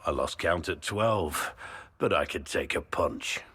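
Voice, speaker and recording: An actor playing a rueful, loyal, plain-spoken warrior in a video game, with a husky low voice. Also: male voice, expressive, unhurried, a bit bright, full character voice. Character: gruff remorseful warrior man, loyal and teasing.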